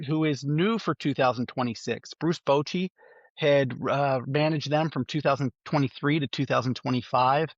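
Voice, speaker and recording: A man's voice: a little high, no echo, lo-fi sound. A man with a cold and a stuffy nose, hosting a solo sports preview podcast and reeling off stats with frequent ums and uhs. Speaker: podcast host.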